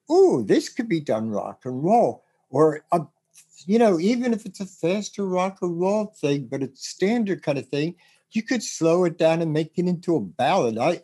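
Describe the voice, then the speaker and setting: A man hosting a podcast about the music business, rambling and animated as he gives advice, with a rough voice. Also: on the high side. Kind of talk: casual advice chat.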